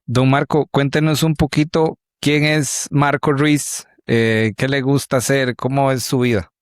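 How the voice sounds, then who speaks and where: A man's voice: mid-range voice, level tone, measured pace, smooth, no echo, clean studio sound. A man hosting an interview podcast who greets his guest politely and asks short, friendly questions. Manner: friendly, polite, brief prompts. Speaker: podcast host.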